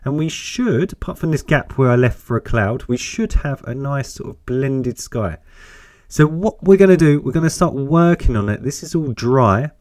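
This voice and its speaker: Man, croaky from a cold, chatty painting teacher, dry close sound. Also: animated, moderate pace, a little mellow, dry recording. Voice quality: croaky, deep. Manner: chatty, encouraging, thinks aloud while painting. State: hoarse with a cold. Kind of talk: step-by-step painting tutorial.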